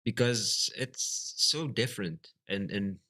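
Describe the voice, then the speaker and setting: A Flemish man from Belgium whose first language is Dutch, chatting casually on a live stream, halting and full of fillers. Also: on the low side, sharp tone. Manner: halting, lots of fillers and restarts. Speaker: livestreamer.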